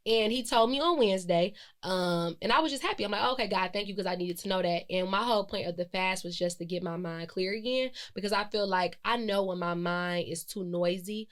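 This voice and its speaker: A woman's voice: mid-range voice, fairly quick, hardly any pauses. Chatty, reflective woman in her twenties. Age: 20s. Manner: chatty and reflective, with frequent fillers and self-corrections. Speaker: podcast host. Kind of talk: casual solo podcast monologue.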